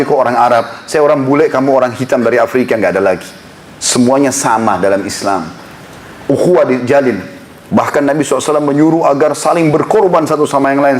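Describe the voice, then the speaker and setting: A man preaching an impassioned sermon to a congregation in a prayer hall, building to emphatic appeals. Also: fairly high pitch. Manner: impassioned, rising to emphatic exhortation. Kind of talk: Islamic sermon lecture.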